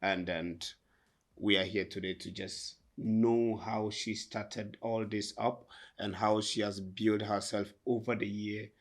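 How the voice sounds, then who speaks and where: Lower-pitched male host, enthusiastic chatty interviewer. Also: little pitch movement, slow pace. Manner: enthusiastic, chatty, repeats questions. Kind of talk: casual interview. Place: boutique, indoors.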